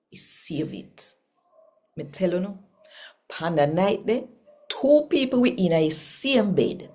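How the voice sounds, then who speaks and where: A woman with a Jamaican accent reading scripture aloud as a channel host, in an expressive, measured storytelling way. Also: medium pitch.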